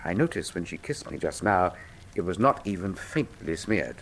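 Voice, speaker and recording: An actor playing a brilliant, cool Victorian detective, speaking formally and with dry wit in a radio drama. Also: male voice, low-pitched, big pitch swings, normal speed, a little hiss or hum, rough recording. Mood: dry, analytical. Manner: precise, formal, dryly witty. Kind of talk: full-cast radio drama acting.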